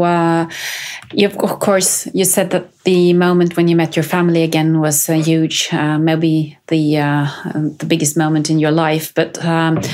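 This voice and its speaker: Flat, low-voiced woman interviewer. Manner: hesitant, many fillers. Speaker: interviewer, videocast host. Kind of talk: interview questions.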